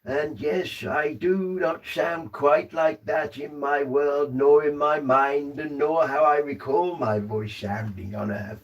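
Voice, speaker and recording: A man's voice: on the high side, fairly even intonation, slow pace, few pauses, far from the mic, dull audio. A man in a trance voicing a formal, old-fashioned male spirit guide who speaks through him at a séance. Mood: amused. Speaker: trance medium. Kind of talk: seance trance communication.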